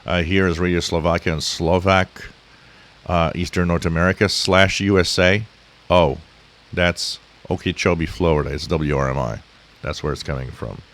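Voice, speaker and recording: A man giving an informal, explanatory screen-share tutorial in a halting, rambling, instructive way. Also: deep voice. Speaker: shortwave radio hobbyist, tutorial host. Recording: steady hiss behind the voice.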